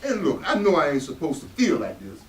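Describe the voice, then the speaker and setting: A distant, roomy recording of a man acting an angry, resentful working father in a play. His voice is high and leaps around as he barks out grievances with bitter self-pity. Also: huge pitch swings, fairly quick. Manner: combative, barking, self-pitying. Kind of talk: stage play reading. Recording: roomy, echoey, distant mic.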